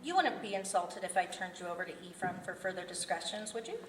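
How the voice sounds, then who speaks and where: A woman reading the part of a formal, evasive serial killer in a script table read, halting and businesslike. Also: lowish voice, big pitch swings. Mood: composed, formal. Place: indoor festival venue table read. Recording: distant echoey room with background noise.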